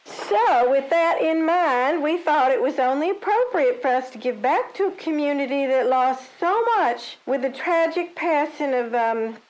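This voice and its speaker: A woman's voice: high voice, a little slow, hardly any pauses, a little mellow, low-quality recording. A woman playing a falsely gracious suburban club spokeswoman in a comic audio drama, sugary and evasive.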